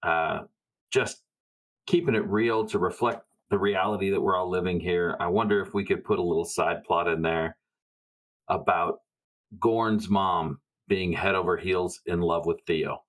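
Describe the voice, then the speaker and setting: A man's voice: deep voice, measured pace, poor audio. A man playing a tabletop game, speaking in character casually with wry, joking delivery. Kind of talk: casual improvised role-play chat.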